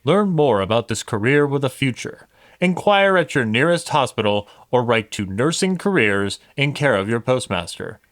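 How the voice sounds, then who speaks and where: A man announcing in a formal, scripted old-time radio style with measured delivery. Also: medium pitch, lively intonation, fairly bright tone.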